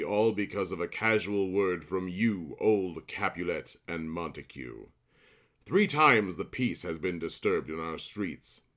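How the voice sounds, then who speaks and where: A man's voice: low-pitched, fairly bright tone. An actor playing a stern, commanding male prince who scolds feuding families in an audio drama. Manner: authoritative, scolding.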